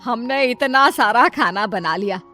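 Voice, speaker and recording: Middle-aged cartoon mother-in-law, acted by a woman: doubting, scolding, motherly, on the high side. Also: animated, measured pace, pushed and bright, soft background music. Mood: gently cautioning. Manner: chiding, motherly. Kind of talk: scripted cartoon story dialogue.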